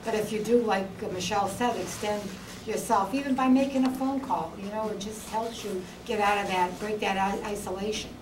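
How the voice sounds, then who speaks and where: A woman's voice: mid-range voice, steady tone, a little fast, few pauses, far from the mic. A woman talks earnestly and reassuringly. She sounds away from the mic. The room echoes with noise behind. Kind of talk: casual comment. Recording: echoey room, from afar, noisy.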